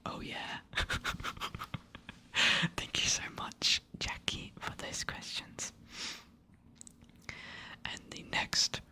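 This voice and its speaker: Slow, bright whispered ASMR voice. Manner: soft, hesitant, apologetic, often giggling. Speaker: ASMR creator answering subscriber questions.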